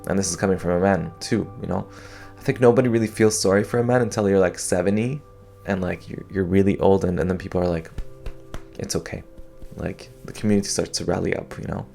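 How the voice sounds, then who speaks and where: Young man, low voice, gravelly fry, reflective monologue, soft guitar music behind. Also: steady tone, fast. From Canada. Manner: thoughtful, hesitant, many fillers and restarts. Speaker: podcast host.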